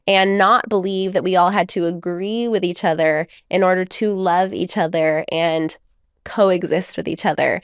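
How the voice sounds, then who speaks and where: A married mother and podcast guest, a woman speaking in a reflective, measured way, telling stories with light laughs. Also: on the low side, creaky, dry recording, studio quality. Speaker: guest author. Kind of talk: conversational podcast interview.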